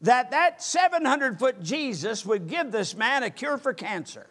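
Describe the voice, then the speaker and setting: A man's voice: extremely high pitch, big pitch swings, a little slow, a bit bright. An older man preaching a sermon from a church pulpit, speaking emphatically and gesturing as he goes. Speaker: pastor. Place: church sanctuary with empty pews.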